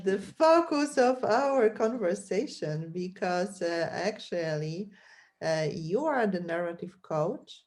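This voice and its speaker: Host, woman, mid-pitch, slow and careful delivery. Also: a little mellow. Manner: slow and deliberate, with many fillers. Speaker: podcast host. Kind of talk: interview hosting.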